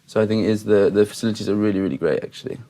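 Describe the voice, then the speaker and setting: A man's voice: fairly low pitch, rapid-fire, mellow. A young man from England, a student guest, chatting with hesitant restarts and rambling asides. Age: young adult. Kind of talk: casual chat.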